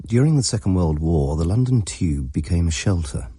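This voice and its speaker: Very deep, husky, solemn chronicler. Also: male voice, lively intonation, moderate pace, mellow, no room sound, clean studio sound, exaggerated voice. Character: solemn male chronicler of Underground facts. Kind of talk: scripted narration in radio drama.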